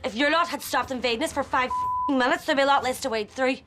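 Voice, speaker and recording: A woman playing a fed-up woman, cursing at an Englishman in a sharp, exasperated way. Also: high voice, fast, music in the background, pitched above the performer's own voice, natural voice.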